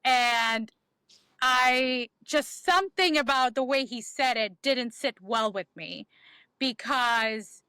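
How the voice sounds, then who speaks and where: A woman's voice: on the high side, slow pace, bright, forceful tone, clear, resonant tone, dry recording. A woman, a podcast guest candidly telling her life story in a reflective way with many ums. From Bangladesh. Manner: reflective, frequent um fillers.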